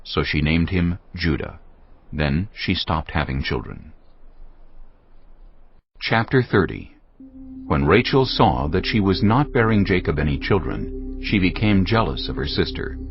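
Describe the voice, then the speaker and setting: Very deep, gravelly male Bible narrator. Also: big pitch swings, a little slow, pauses often. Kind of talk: scripted audio Bible narration. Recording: slightly dull audio, music underneath.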